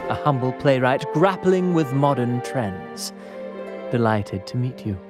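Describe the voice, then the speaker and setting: A man's voice: medium pitch, animated, unhurried, lightly acted. An actor playing a theatrical, grandiloquent playwright in a video game, with florid and courtly flourishes in his speech. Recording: music behind the voice. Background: clear background music.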